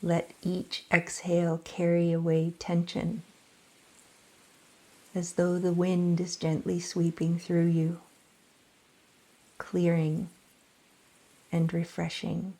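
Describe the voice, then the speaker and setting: A woman guiding a yoga nidra meditation in a slow, soft, soothing voice with long pauses. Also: lowish voice, monotone, low-quality recording. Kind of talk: guided meditation.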